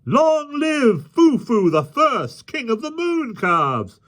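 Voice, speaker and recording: A man's voice: extremely high pitch, huge pitch swings, slow, fairly bright tone, voice pushed up, heavily acted. A man voicing rowdy, mocking vagabonds in a storybook, crying out with exaggerated mock reverence. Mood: jeering, gleeful. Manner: shrieking, mock-reverent exclamations. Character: mocking rowdy vagabonds hailing a fake king.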